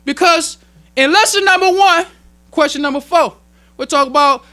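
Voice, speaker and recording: An American man delivers a sermon in a very high-pitched, piercing voice. He teaches emphatically in plain colloquial speech, driving points home by repetition. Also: moderate pace, sharp tone. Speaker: minister teaching at a temple meeting. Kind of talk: religious sermon, explaining teachings.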